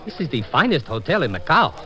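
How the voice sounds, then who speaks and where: A proud, obsequious hotel clerk in a vintage radio drama. His high voice swoops dramatically, eager to please and very formal, with faint music behind. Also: sing-song, fast. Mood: proud, fawning. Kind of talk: 1950s radio drama acting.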